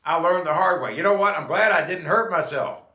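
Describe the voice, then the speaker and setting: A man's voice: fairly high pitch, brisk, bright, forceful tone. Folksy fifties man, echoey room. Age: 50s. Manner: folksy, repeats "okay?" to the viewer. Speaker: drummer and channel host. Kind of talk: casual advice vlog.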